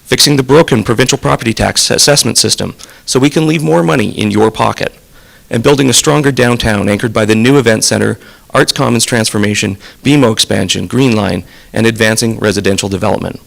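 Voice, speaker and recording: A man's voice: on the low side, brisk, gravelly, a bit bright, rough recording. A man running for mayor, delivering a polished, scripted campaign speech that moves through lists of points. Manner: polished, list-driven delivery. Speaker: mayoral candidate.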